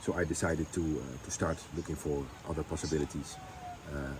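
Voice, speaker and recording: Man with a deep voice, unpolished vlog chat, light noise behind him. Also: steady tone, a little mellow. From Netherlands. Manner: halting, many ums and restarts. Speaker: vlogger. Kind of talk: casual storytelling chat.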